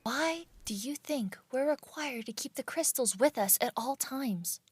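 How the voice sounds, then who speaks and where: A woman's voice: high voice, expressive, unhurried, husky, heavily acted. A woman playing an earnest, insistent scholar of magic in an elite mercenary band, explaining things in an audio drama.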